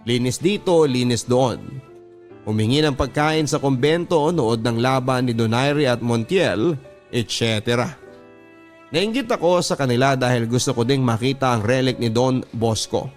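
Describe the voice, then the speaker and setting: A man's voice: mid-range voice, unhurried, faint music behind. A man with a Filipino accent reading a first-person story as a radio drama narrator, in a sentimental manner.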